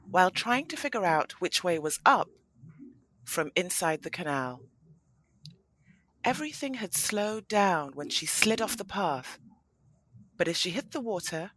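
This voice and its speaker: A woman narrating a scripted audio story as an expressive storyteller. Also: lowish voice, rough recording.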